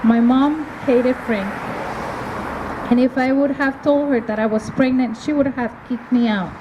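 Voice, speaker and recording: Woman from el salvador sharing her story at a rally, slow careful phrasing, high voice, noisy outdoor recording. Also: fairly even intonation, dull audio. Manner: earnest, measured delivery with pauses. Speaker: rally speaker. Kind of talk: prepared testimony speech. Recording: outdoor recording with clear ambient noise.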